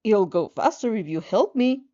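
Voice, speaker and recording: A woman's voice: medium pitch, big pitch swings, moderate pace, warm tone, dull audio, lightly acted. A woman voicing a flustered, sheepish young protagonist who stammers and pleads insistently in a fan fiction reading.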